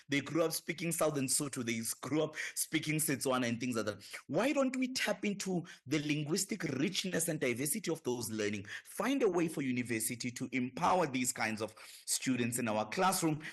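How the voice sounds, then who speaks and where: A man's voice: on the high side, very animated, fairly quick, few pauses. A man from South Africa with a South African accent, a professor guest on a radio talk show, speaking with impassioned emphasis in building lists. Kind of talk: radio discussion.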